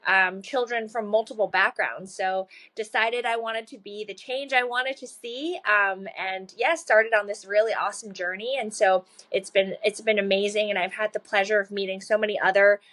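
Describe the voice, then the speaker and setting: A woman's voice: mid-range voice, steady tone, normal speed, few pauses, a bit bright. A woman in her thirties, a children's book author, talking casually and earnestly as an interview guest, laughing often and using many fillers. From China.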